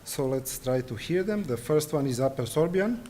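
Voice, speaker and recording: Serbian-native man giving a halting conference lecture. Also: on the high side, animated, crowd noise. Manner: hesitant, frequent fillers and restarts. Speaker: conference presenter. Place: conference hall.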